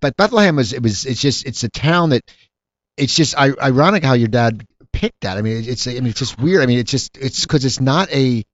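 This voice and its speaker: A man's voice: medium pitch, a little fast, no echo, muffled recording. A man hosting a casual talk interview, joking and rambling. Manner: jokey, rambling, animated. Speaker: talk show host.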